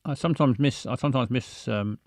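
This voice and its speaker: A man's voice: medium pitch, a little fast, a little mellow, no echo, clean studio sound. Rambling man hosting a stream. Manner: stammering, rambling, self-mocking. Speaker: livestream host. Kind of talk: casual chat with viewers.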